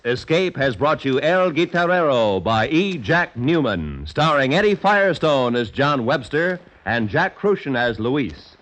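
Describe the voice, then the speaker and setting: A male radio announcer of the 1950s reads credits and promotions in an animated, polished delivery. His voice sits a little high and moves a lot, with faint music underneath. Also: rough recording. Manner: smooth, lively announcer delivery.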